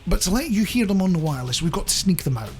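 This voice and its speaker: A man's voice: sits high, sing-song, rapid-fire, a little mellow, soft background music. A man presenting a radio show in a chatty, rambling, jokey way, speaking directly to the listener.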